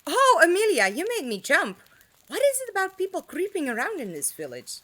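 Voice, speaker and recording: Actress as lively high-voiced plucky young woman. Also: fairly quick, exaggerated voice. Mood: irritated, startled. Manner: informal, frank, reassuring. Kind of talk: audio drama acting.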